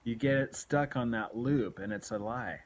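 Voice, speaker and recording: A man's voice: mid-range voice, moderate pace. A man in his late forties talking candidly to camera in an earnest, exhorting, confessional way, often repeating his points for emphasis. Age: late 40s. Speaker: self-help video blogger. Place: small room at home, indoors. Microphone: phone at arm's length.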